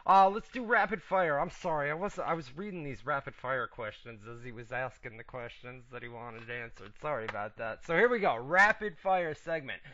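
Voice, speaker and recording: A man's voice: medium pitch, normal speed, hardly any pauses, a bit bright. A man hosting a casual wrestling talk radio show, laid-back and chatty, losing his voice as he reads out listener questions. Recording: dull lo-fi internet radio audio.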